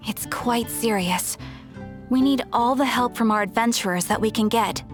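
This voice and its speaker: A woman's voice: a little high, normal speed, close to an everyday voice. A woman voicing a polite, composed guild receptionist in a video game, speaking formally and evenly. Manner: even, formal, courteous. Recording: game audio with faint music.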